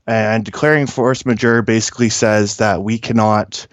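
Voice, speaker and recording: Male guest commentator, deep and clean-voiced, informal and opinionated, hesitant phrasing, plenty of ums. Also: steady tone, moderate pace, dry recording. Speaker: guest caller, mining industry technician. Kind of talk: informal explanatory commentary on industry news.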